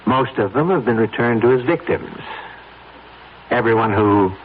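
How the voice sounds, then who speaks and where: A man's voice: mid-range voice, normal speed, close to an everyday voice. Man acting a coolly coercive persuader, tinny radio. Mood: quietly threatening. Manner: insistent, pointed, persuasive. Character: coolly coercive man pressing a reluctant friend. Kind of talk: old-time radio drama acting. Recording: noisy, tinny old radio broadcast.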